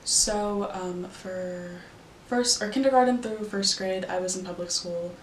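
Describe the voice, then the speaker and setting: A woman's voice: mid-range voice, a little slow, reverberant, slight background noise, poor audio. A nineteen-year-old woman from Arizona, answering interview questions in a loose, rambling way with lots of fillers. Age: young adult. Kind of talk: casual recollection.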